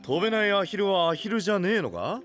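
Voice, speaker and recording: A man playing a blunt, big-hearted young knight with rough, punchy speech in an anime voice drama. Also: sits high, expressive, quick pace, a bit bright, soft background music. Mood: blunt, encouraging. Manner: rough, brash, punchy delivery.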